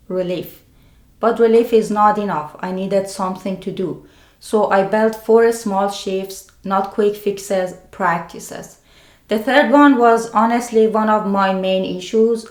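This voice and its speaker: A woman with a non-native English accent gives scripted self-help advice as a video host, speaking deliberately in short phrases with pauses. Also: mid-range voice. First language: a language other than English. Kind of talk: scripted personal explainer to camera. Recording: roomy, echoing sound.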